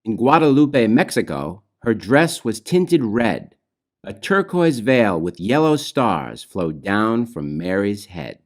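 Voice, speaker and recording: A man reads rhyming children's storybook verse as its author, in a measured, expressive way with phrase endings trailing softly. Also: fairly low pitch. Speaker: author reading own children's book. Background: music.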